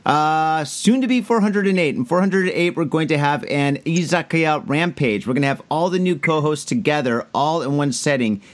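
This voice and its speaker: A man hosting a casual podcast, talking loudly and loosely over a drink with plenty of fillers. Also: fairly high pitch, lively intonation, normal speed, few pauses. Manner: loud, laid-back, tipsy banter with fillers. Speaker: podcast host.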